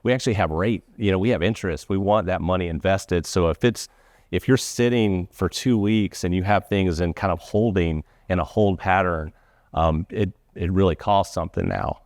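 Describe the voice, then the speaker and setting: A man with a low voice gives an informal account as a guest professional. He speaks haltingly, often rephrasing mid-sentence. The recording is dry and free of room sound. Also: darkish tone, no room sound. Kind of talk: informal first-hand account.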